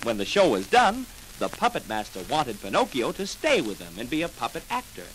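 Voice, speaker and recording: A man narrating a children's story dramatically, with clear enunciation, in a 1940s record style. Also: on the high side, huge pitch swings, brisk, rough recording. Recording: old 78 rpm record with music.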